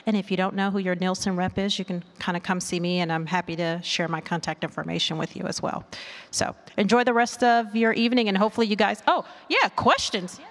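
Female conference presenter, mid-range pitch, casual and chatty, speaking off the cuff in an echoing hall. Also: fairly quick, a bit bright. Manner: chatty, informal. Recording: reverberant hall.

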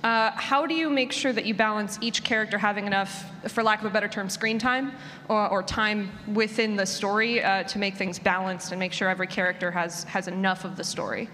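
A woman's voice: mid-range voice, fairly even intonation, fairly quick, bright, forceful tone. A woman moderating a live panel and putting questions to the speakers. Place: large room at a convention panel. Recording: echoey hall, clear background noise.

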